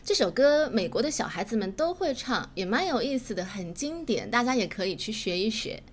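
Woman, native Chinese speaker, high voice, English lesson host, chatty, explanatory. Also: very animated, fairly quick. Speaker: English teacher and podcast host. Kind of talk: explanatory language lesson, reading lines aloud and glossing them.

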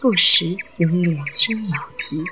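A woman narrating an audio drama in a measured, atmospheric storytelling manner. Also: lowish voice, loud music behind the voice.

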